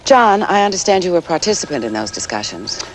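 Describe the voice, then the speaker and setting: A woman's voice: fairly low pitch, sing-song, fast talker, low-quality recording. An actress playing a composed female network news anchor with a formal, orderly delivery in a TV bulletin. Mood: composed, serious. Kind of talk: 1980s TV news bulletin acting. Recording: faint noise, 1980s TV broadcast.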